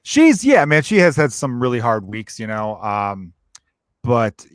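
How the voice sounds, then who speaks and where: A man's voice: mid-range voice, very animated, measured pace, no room sound, studio quality. A man in his thirties hosting a podcast, friendly and encouraging, talking in loose run-on sentences. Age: 30s. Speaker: podcast host, comedian. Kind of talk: casual chat.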